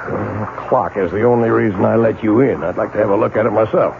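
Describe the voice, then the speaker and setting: A man's voice: fairly low pitch, fairly quick, vocal fry, noisy background. An actor playing a brooding, curt clockmaker telling his confession in a 1970s radio drama. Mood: gruff, guarded. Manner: dramatic, brooding when narrating, curt in dialogue. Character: haunted, grumpy, obsessive male clockmaker confessing his tale. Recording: tinny old radio broadcast.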